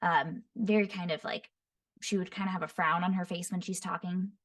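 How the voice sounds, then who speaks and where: A woman voice actor teaching voice impressions, describing each voice in short phrases and often breaking into quick character demos. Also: fairly low pitch, steady tone. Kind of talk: voice impression tutorial. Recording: voice somewhat far from the mic.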